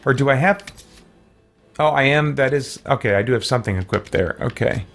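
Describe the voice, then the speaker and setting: A man's voice: mid-range voice, brisk, creaky, a bit bright. A man giving casual video game commentary, thinking aloud and muttering to himself as he plays. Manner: muttering to himself while working through menus. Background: game music, keyboard clicks.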